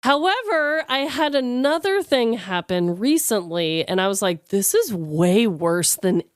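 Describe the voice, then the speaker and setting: A woman with a smooth, clear voice and very lively intonation chats as a podcast co-host. She is expressive and informal, recorded close and dry. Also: fairly high pitch, a little slow, no room sound. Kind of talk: casual chat.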